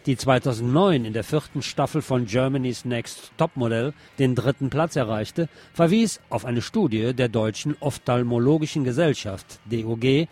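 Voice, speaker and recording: A man's voice: mid-range voice, moderate pace, hardly any pauses, darkish tone. A man presenting scripted news commentary as the host of a show.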